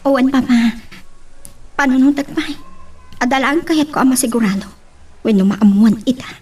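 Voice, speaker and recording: A woman's voice: high voice, normal speed, darkish tone, husky, distant mic. A woman with an Ilocano Filipino accent playing a distressed, pleading young wife and daughter in an audio drama. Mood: subdued, resolved. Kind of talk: Ilocano radio-style drama acting.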